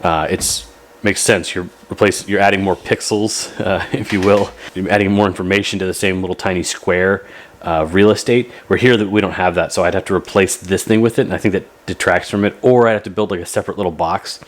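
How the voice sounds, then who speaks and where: A man's voice: deep voice, fairly even intonation, brisk. A man talking casually through a hands-on repair video in a rambling, folksy, laid-back way. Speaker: host of a radio repair video.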